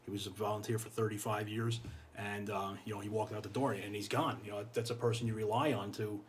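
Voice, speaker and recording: Low-voiced American man over background noise. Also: steady tone, fast. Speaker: guest, fire chief. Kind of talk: casual interview talk. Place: podcast studio, indoors. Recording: clear background noise, room ambience.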